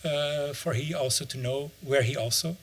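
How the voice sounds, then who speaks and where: A man's voice: fairly high pitch, moderate pace, breathy edge. Reflective middle-aged man. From Brazil. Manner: reflective, searching for words. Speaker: author and anthropologist. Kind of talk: interview answer.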